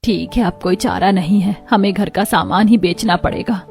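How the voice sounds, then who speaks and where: A woman's voice: medium pitch, normal speed, gravelly, a little mellow, lightly acted. A woman playing a poor, earnest mother who works as a housemaid, speaking respectfully and pleadingly in a moral story. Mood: worried, sorrowful. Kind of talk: dramatized Hindi moral story acting.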